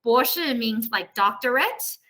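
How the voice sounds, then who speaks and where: A woman's voice: fairly high pitch, expressive, a little slow, sharp tone. A woman professor teaching an online class in a casual, conversational way, explaining things and often checking for agreement, heard over a video call. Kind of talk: casual, conversational lesson to students.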